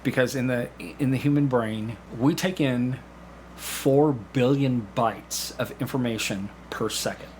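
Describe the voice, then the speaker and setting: A middle-aged man talks as a guest on a podcast. His pitch is average and he explains things conversationally, pausing partway through sentences. Faint noise sits behind the voice. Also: a little mellow. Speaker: podcast guest, actor and hypnotist. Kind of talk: conversational interview.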